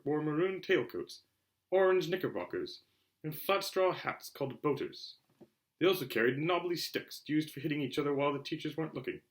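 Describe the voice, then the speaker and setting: A man narrating a children's novel aloud in an expressive storytelling style. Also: a little high. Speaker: audiobook reader.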